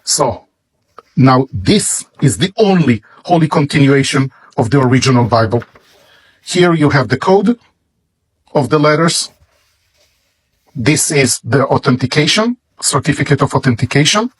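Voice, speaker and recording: A man with a Hebrew accent, a native Hebrew speaker, presenting and explaining in English with deliberate, halting phrasing in short pieces and a husky voice. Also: medium pitch, animated. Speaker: presenter showing a book. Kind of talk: slow explanatory product presentation with read-out verses.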